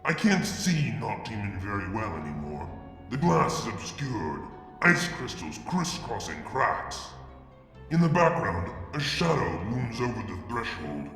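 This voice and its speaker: A man reading a fanfic aloud in a soft, halting first-person narration. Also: on the low side, very animated, echoey room, long reverb tail, soft background music. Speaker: fanfic reader. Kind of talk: dramatic story reading.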